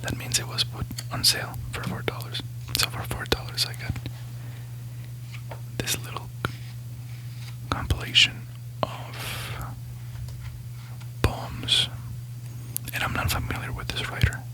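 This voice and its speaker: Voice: measured pace, long pauses, rough recording. A native Spanish speaker whispering a rambling ASMR book haul, sleepy and often correcting themselves. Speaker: ASMR host.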